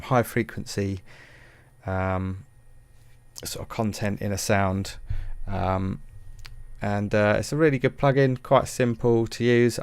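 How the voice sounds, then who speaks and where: A man giving a casual software tutorial in a hesitant, rambling, informal and self-deprecating way. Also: lowish voice, smooth, no room sound. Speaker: music production tutorial presenter.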